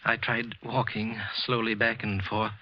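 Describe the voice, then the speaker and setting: An actor playing a bitter office clerk, narrating a halting confession in 1940s radio style. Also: male voice, fairly low pitch, little pitch movement. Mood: bitter, brooding. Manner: halting, tense confessional narration with repeated words. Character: bitter, trembling clerk consumed by quiet hatred. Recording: muffled, husky vintage radio recording.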